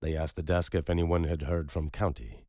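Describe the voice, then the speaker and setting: Man, extremely deep voice, husky, dry close sound, dramatic audiobook narration. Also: fairly even intonation, normal speed, darkish tone, no echo. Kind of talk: scripted dramatic fiction narration.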